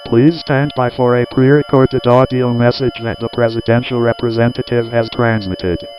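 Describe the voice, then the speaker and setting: A man's voice: medium pitch, fairly even intonation, normal speed, darkish tone, faint music behind, natural voice. A man playing a neutral, formal broadcast station announcer giving scripted standby notices impersonally.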